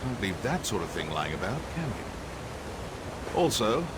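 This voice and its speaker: Arrogant, theatrical sorcerer with swooping intonation. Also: male voice, lowish voice, big pitch swings, slow pace, very noisy. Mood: dry, explaining. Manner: theatrical, condescending, dryly sardonic. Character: arrogant, cryptic elven sorcerer scholar, wry and scheming. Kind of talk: scripted video game dialogue. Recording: music under the voice.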